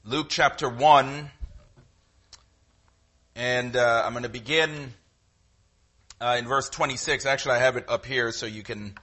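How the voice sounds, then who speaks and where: Trinidadian man preaching, bright tone. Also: mid-range voice, steady tone. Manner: conversational, halting with frequent fillers. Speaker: guest preacher. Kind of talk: sermon.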